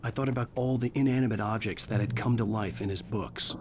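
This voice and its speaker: A man's voice: fairly low pitch, fairly quick, close to an everyday voice. A man playing a brooding, wry writer who narrates his own ordeal in a video game. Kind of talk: first-person scripted game narration. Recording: faint ambient noise behind.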